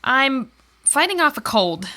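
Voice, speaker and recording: A woman's voice: sits high, big pitch swings, measured pace, sharp tone, exaggerated voice. A woman playing a worried, downcast wife in soap-opera dialogue for English learners, enunciating carefully. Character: worried, downcast wife fearing her husband's affair.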